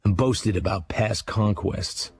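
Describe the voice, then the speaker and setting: Hard-boiled American noir narrator, low, creaky, terse, brooding, music underneath. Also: male voice, slow pace. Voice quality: gravelly. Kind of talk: first-person scripted drama narration.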